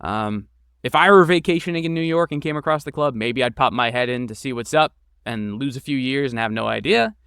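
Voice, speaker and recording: Smooth-voiced man talking thoughtfully. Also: mid-range voice, a little fast, no room sound, clean studio sound. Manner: thoughtful, relaxed, with frequent fillers. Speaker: game creator and voice actor. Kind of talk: podcast interview guest.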